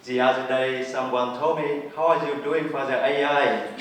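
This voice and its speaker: A Vietnamese-born man, a priest, speaking about his upbringing with a Vietnamese accent, unhurried and lightly humorous. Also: mid-range voice, fairly even intonation, very noisy. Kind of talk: personal testimony talk. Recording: echoey hall, mic far off.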